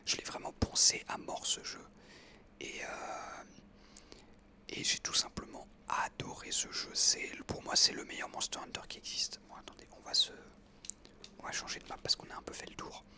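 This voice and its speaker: Young ASMR gaming narrator, close-miked breathy whisper, very sibilant and sharp, casual enthusiastic chat. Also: moderate pace. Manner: informal, enthusiastic gamer talk. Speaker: ASMR creator narrating own gameplay. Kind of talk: ASMR whispered casual storytelling over gameplay.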